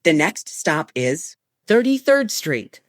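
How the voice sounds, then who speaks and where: Low-pitched woman making train announcements. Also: big pitch swings, no echo, studio quality. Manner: formulaic, measured delivery of short set phrases. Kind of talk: scripted automated transit announcements.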